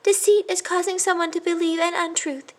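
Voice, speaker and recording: Woman playing a squeaky, stammering little boy mouse, very high. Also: flat delivery, fairly quick. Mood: unhappy confessing. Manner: stammering, hesitant. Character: guilty, miserable little boy mouse, timid and remorseful. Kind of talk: children's storybook character voice.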